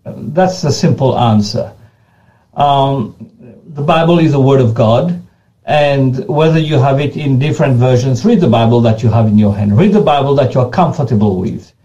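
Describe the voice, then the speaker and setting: A man's voice: medium pitch, normal speed, warm tone, muffled recording. A man whose first language is Creole, answering questions in earnest and often repeating and restarting words as he goes. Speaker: pastor being interviewed. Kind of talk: unscripted interview answers.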